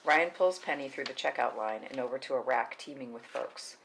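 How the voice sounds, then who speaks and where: A woman with an extremely low-pitched voice narrates screenplay directions at a table read. Her delivery is scripted narration. There is faint noise in the recording. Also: a little fast, vocal fry, low-quality recording. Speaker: narrator reading stage directions.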